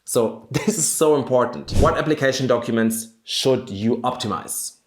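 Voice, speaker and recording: Male career coach, German accent, mid-range pitch, emphatic instructive delivery for an explainer video, roomy echoing sound. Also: normal speed. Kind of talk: explanatory video guide to camera.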